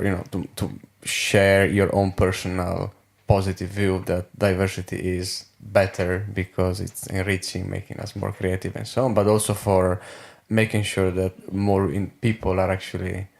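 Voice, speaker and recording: A man hosting a podcast interview, asking long questions that he rephrases as he goes, in a halting way. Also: low voice, level tone, creaky. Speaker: podcast host.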